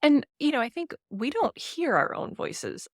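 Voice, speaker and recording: A woman with a naturally slightly low voice, co-hosting a casual book-discussion podcast and talking thoughtfully with many fillers. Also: very animated, unhurried, no echo, clean studio sound. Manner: thoughtful, with frequent "you know" and "um". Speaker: podcast co-host.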